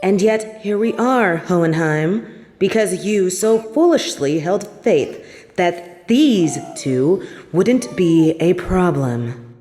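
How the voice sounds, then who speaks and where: A woman's voice: fairly low pitch, animated, slow pace, dark tone. A woman playing a stern, scornful older headmistress of a magic school in a fantasy audio drama, with a haughty, cutting delivery. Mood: disdainful. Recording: long reverberant hall with background noise.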